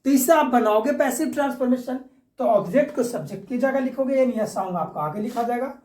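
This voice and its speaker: A woman's voice: fairly high pitch, fast talker, dark tone. A woman with an Indian accent teaching grammar step by step like a classroom lesson, repeating each point and checking understanding. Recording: echoey room, distant microphone.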